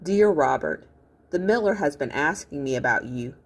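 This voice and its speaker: Storybook girl, played by a woman: feisty, blunt, grumpy, husky, with expressive pitch. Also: moderate pace. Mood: irritated, wary.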